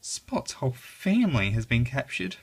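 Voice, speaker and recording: A storyteller putting on a high, excitable little boy mouse. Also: moderate pace, gravelly, breathy edge, heavily acted. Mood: shocked. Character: small plucky male mouse, anxious, excitable, kind. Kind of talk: children's storybook character voice.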